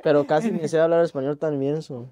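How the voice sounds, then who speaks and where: A man's voice: fairly high pitch, little pitch movement, fairly quick, dark tone. A young man hosting, asking curious questions and mixing English and Spanish casually. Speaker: shop host. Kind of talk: casual interview. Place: indoor shop lounge.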